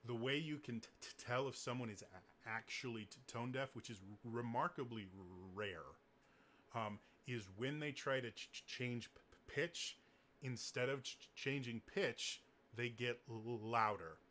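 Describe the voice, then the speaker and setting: A man with a naturally deep voice giving casual singing tips, stuttering often on the first sounds of words. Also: normal speed, bright, forceful tone, a little hiss or hum. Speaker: singing coach. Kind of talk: casual advice talk to camera.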